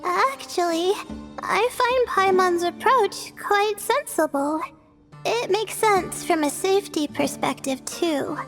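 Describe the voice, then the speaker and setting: Shy game schoolgirl, slow hesitant delivery, very high creaky voice, anxious, modest, reverberant. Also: soft background music, full character voice. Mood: unsure, earnest. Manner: hesitant, soft-spoken, self-doubting. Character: timid, anxious, sleepy teenage girl student, modest. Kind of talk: English game dub voice acting.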